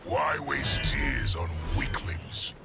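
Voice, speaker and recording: Game villain: cruel male warlord, slow and gravelly, imperious, under loud music. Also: medium pitch, lively intonation, full character voice. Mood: cold contempt. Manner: commanding, imperious, formal. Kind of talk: video game cutscene acting.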